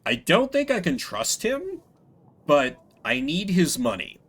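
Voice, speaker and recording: Lively, higher-voiced man commenting on a game. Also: a little slow, slightly rough. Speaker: game streamer voicing all characters.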